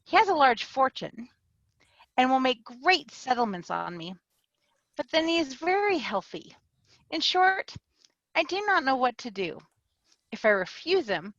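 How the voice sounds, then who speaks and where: A woman's voice: high voice, dry recording, full character voice. A woman reading the part of a vain, fickle young woman weighing a marriage offer, in a dramatic reading over a video call. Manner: giddy, changeable, animated delivery. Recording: dull lo-fi video call audio.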